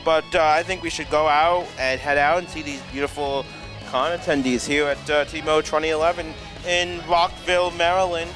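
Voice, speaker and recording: Young guy cohosting con coverage, goofy riffing, kinda high voice, music going behind him. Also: level tone, normal speed, poor audio. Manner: playful, riffs on jokes and running gags. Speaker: co-host of convention coverage. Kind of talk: casual joking banter.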